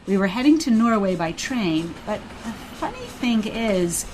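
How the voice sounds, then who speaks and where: A woman acting a gentle, patient mother who tells stories of her childhood in a scripted radio play. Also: mid-range voice, big pitch swings, measured pace, a little hiss or hum, rough recording. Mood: patient storytelling. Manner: patient, storytelling.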